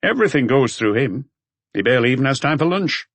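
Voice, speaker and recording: A man's voice: mid-range voice, sing-song, quick pace, fairly bright tone, no echo, voice pushed up. A man voicing a short, grumpy accountant in an audiobook, curt and guarded in manner. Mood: guarded, explaining. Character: short grumpy male accountant, defensive but talkative.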